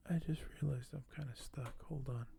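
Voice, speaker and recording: A man doing soft-spoken ASMR in a breathy voice that often drops to a whisper, speaking in a slow, hushed, hesitant and apologetic way. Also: medium pitch, steady tone, very noisy. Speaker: ASMR video host.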